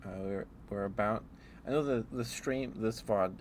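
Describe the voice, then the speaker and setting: A man livestreamer chatting casually in an ASMR stream, rambling in a hesitant, unhurried way. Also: on the low side, a little hiss or hum.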